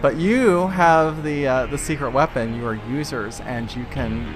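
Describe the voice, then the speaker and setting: A man narrating an improvised tabletop game as game master, in a halting, conversational way. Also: mid-range voice, faint music behind.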